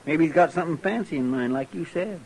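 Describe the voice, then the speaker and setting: A man's voice: on the high side, fast, a little mellow, close to an everyday voice, low-quality recording. A man playing a simple, deferential deputy sidekick in an old-time radio western, on a hissy recording. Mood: eager, deferential.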